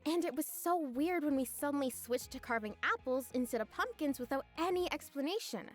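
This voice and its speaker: A woman's voice: sits high, lively intonation, fairly quick, faint music behind. A woman voicing a mild, puzzled middle-school student in conversational visual novel dialogue.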